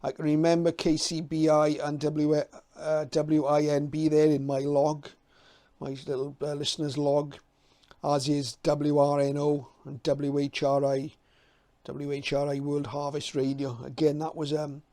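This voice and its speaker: A middle-aged man with a soft-edged, mellow voice that sits slightly high. He talks informally and unscripted, in a very level tone, pausing and hesitating like a hobbyist thinking back as he presents. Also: completely flat delivery.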